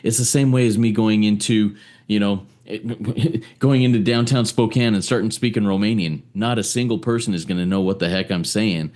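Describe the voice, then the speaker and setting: Brief: low-voiced male moderator, casual and thoughtful. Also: fairly even intonation, moderate pace, a little mellow. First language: English. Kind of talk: casual discussion leading.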